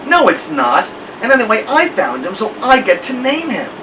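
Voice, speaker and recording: A man voicing an excitable, insistent young boy in a children's storybook. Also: high-pitched, normal speed, pitched above the performer's own voice. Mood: pleading. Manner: pleading, insistent. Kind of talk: children's storybook character reading. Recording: distant, noisy, dull room recording.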